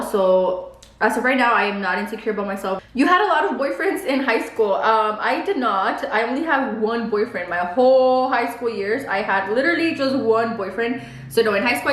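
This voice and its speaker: A woman's voice: a little high, a bit bright, roomy sound, rough recording. A woman in her twenties whose first language is Spanish, chatting casually on a vlog and saying "like" a lot. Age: 20s. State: pregnant. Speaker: beauty vlogger. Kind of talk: casual chat while eating.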